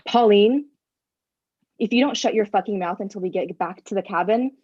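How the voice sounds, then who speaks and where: A woman's voice: mid-range voice, moderate pace, darkish tone, slightly rough, no room sound, dull audio, close to an everyday voice. An actress playing a tense, irritable woman who is curt and profane, in a staged play reading. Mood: irritated. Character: tense, irritable woman, reluctant accomplice in a killing.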